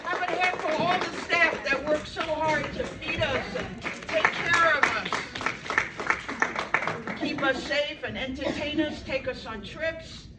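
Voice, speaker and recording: A woman's voice: high-pitched, a little slow. A woman, doing a chatty, self-deprecating stand-up set and bantering with the audience. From Brooklyn, New York. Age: 70s. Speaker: stand-up comedian. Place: senior center hall. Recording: echoey hall, from far off, loud crowd. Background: audience reactions and room noise.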